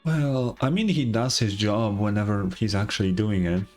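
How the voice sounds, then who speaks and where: A man's voice: lowish voice, moderate pace, a little mellow, faint music behind. A young man doing casual, offhand game commentary with some swearing, also reading game text aloud. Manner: offhand, profane. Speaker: game streamer.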